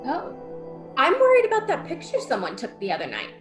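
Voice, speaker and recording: A woman's voice: high-pitched, wildly swooping pitch, brisk, over music. A woman playing an anxious, jumpy crook's accomplice in old-time radio style. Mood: worried. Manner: fretful, jumpy.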